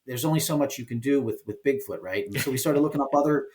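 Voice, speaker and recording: A man talking casually as an interview guest, explaining his work in an informal, halting way. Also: medium pitch, a little mellow. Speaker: guest, indie game developer and producer.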